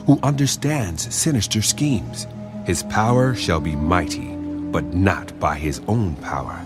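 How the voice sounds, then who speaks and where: A man playing a solemn, commanding angel revealing a prophecy in a dramatised scripture audiobook, speaking in a grave, declamatory manner. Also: fairly low pitch.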